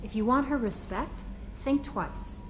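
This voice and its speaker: An actress playing an observant young female crew assistant in a video game, tactful and friendly with gentle humour. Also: a little high, a little slow, slight background noise, lightly acted. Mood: friendly, concerned.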